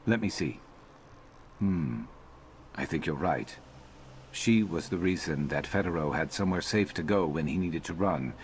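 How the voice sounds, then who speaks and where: A gruff fantasy game rogue with a very low voice. He is dry and terse, like a conspirator planning a job. Ambient game noise sits behind him. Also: lively intonation, normal speed, long pauses. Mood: dry, businesslike. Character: gruff, sardonic male rogue adventurer in dark armour. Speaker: companion character in a fantasy video game. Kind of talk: scripted game dialogue.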